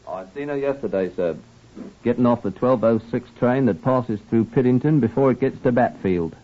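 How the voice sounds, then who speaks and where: A man's voice: mid-range voice, moderate pace, lightly acted. A man playing a deferential working-class railway porter with colloquial speech in an old-time radio crime drama. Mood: respectful. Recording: dull old radio recording.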